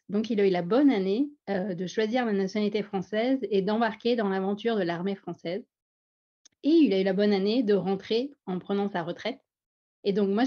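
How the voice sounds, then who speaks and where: Lively Parisian woman on a video call. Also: medium pitch. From France. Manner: lively, humorous storytelling. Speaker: guest. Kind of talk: webinar self-introduction. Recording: slightly dull video call audio.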